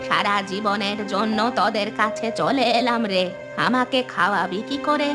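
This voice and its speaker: A woman voicing an old village great-aunt, plaintive but generous, with a drawn-out delivery in a cartoon dub. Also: mid-range voice, music in the background, natural voice. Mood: earnest. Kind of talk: Bengali cartoon dubbing.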